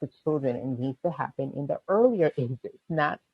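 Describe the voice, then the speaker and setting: A woman from Panama speaks with a slight Jamaican lilt and a husky, breathy voice. She explains things clearly and calmly in a casual interview, now and then with a light laugh. The recording is dry and a little dull, like a muffled call line. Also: low voice, normal speed, dry recording. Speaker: guest, medical interpreting executive.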